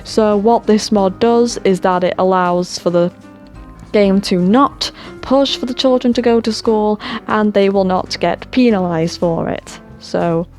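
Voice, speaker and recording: A woman's voice: mid-range voice, unhurried, darkish tone, faint music behind. A woman chatting casually and rambling about game mods, her voice tiring and her throat sore. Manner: chatty, self-deprecating, trails off into fillers. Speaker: gaming channel host.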